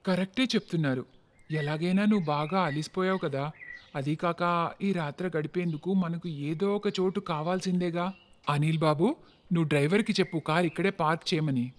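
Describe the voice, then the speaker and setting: Man as a high-pitched, earnest family father. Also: normal speed, close to an everyday voice. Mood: sensible. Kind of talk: animated moral-story dialogue.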